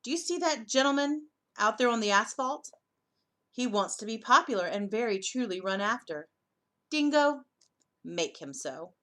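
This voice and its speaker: A woman voicing a big, commanding male god who loudly orders a dog about, in a children's storybook reading. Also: a little high, animated, moderate pace.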